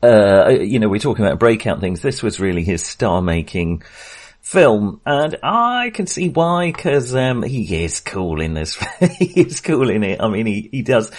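A man hosting a casual film discussion podcast, with a wry, jokey manner and a halting, hesitant delivery. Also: on the low side, very animated. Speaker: podcast host.

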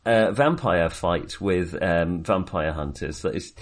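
A man's voice: deep voice, measured pace. A man co-hosting a casual film discussion, rambling and often correcting himself as he goes. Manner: hesitant, rambling, self-correcting. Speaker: podcast co-host reviewing films.

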